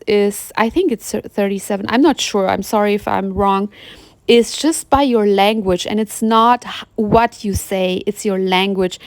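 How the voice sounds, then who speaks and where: A woman, a German speaker, talking off the cuff in an emphatic, halting, rambling personal monologue. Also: mid-range voice, dry recording. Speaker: YouTube vlogger.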